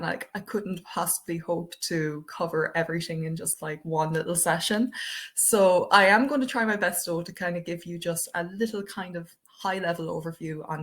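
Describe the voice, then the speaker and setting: A woman's voice: low-pitched, a bit bright. A young Irish woman with an Irish accent presenting a webinar over a video call, chatty and full of fillers like "um" and "I suppose". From Ireland.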